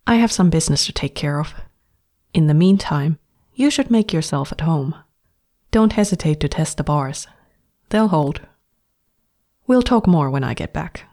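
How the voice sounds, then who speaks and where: A woman's voice: fairly low pitch, expressive, a little slow, mellow. A woman voicing a cheerful, bossy young woman who talks sweetly and patronizingly to her new pet. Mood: bright, condescending.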